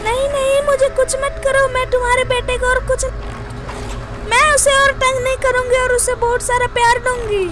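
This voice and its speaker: A woman playing a cruel village stepmother in a Hindi cartoon story, with a shrill delivery. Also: level tone, normal speed, a bit bright. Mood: frightened pleading. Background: music.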